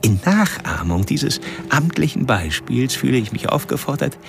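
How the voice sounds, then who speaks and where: An actor playing a mocking, erudite serial killer in a radio play, speaking formally and pedantically with halting, whispered passages. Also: male voice, medium pitch, big pitch swings, gravelly, music in the background. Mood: menacing, mocking. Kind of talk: recorded taunting monologue in a radio play.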